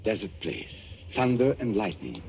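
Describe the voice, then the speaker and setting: An actor playing a suave, sinister foreign man in an old radio drama, theatrical and deliberate in his phrasing. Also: fairly low pitch, very animated, over loud music. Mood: dramatic, hushed. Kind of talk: 1940s radio acting.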